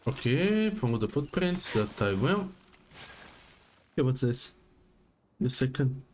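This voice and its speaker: Game streamer, slow pace, lively pitch swings, casual commentary, short thinking-aloud reactions. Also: a little hiss or hum. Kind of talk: casual live gameplay commentary.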